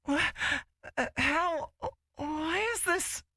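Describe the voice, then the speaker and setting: A woman's voice: high-pitched, drawn-out words, sharp tone, dry recording, clean studio sound, exaggerated voice. A woman voicing a timid, flustered young man who hesitates and stammers, in a visual novel.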